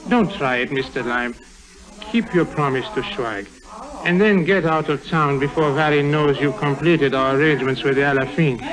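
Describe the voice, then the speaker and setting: A sinister, polite henchman acted by a man speaks in a fairly high male voice. He is formal and measured, with quiet threat, in a vintage radio drama recording. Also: crowd noise, close to an everyday voice, poor audio. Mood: quietly threatening. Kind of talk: 1950s radio drama acting. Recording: old 1950s radio recording.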